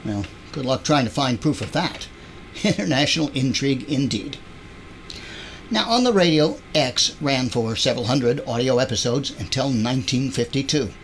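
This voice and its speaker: A man's voice: medium pitch, expressive, moderate pace, a little hiss or hum. A man hosting an audio drama introduction in a chatty, playful, wry conversational style.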